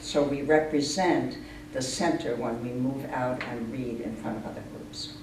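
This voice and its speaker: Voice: medium pitch, unhurried. A person explaining things earnestly in an informal interview, as the leader of a poetry reading group. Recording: echoey distant room recording, clear noise.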